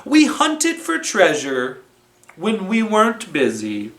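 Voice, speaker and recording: A children's library storytime presenter reading a story aloud in a deliberate, expressive way, recorded in a roomy space at some distance from the microphone. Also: medium pitch. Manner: slow, deliberate, expressive read-aloud delivery. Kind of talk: animated storytelling with felt board.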